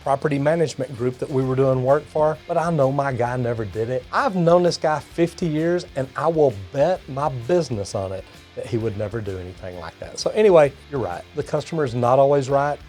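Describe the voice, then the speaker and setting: A man's voice: mid-range voice, animated, brisk, darkish tone, soft background music. A middle-aged or older man with a Texan accent, a plumber hosting a video, reading comments aloud and reacting in a folksy way. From Texas, United States. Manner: folksy, addresses viewers as y'all and guys. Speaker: master plumber and video host.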